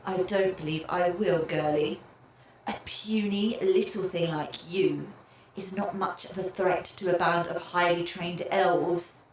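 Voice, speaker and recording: A woman's voice: on the low side, fairly even intonation, a little slow, natural voice. A woman voicing a large, menacing elf leader in a fantasy visual novel, taunting and condescending. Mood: taunting, scornful. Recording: echoey room, background noise.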